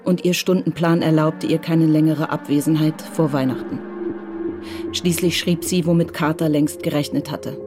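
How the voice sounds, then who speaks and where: A woman narrates a crime radio drama in a calm, measured style. Her voice sits a little low, and music plays under the studio recording.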